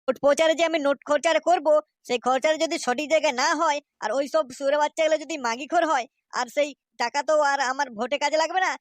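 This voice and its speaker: A woman's voice: sits high, quick pace, no room sound, natural voice. A woman voicing a bullying, foul-mouthed Bengali village gang boss in a comedy cartoon, coarse and blustering. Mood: threatening.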